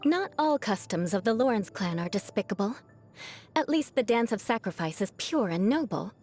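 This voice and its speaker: A woman's voice: mid-range voice, expressive, moderate pace, darkish tone, faint music behind, natural voice. A woman voicing a proud young aristocratic knight in a video game, with a haughty, formal, teasing manner and a vengeful streak that hides a caring side. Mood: proud, reflective. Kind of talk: scripted video game character voice lines.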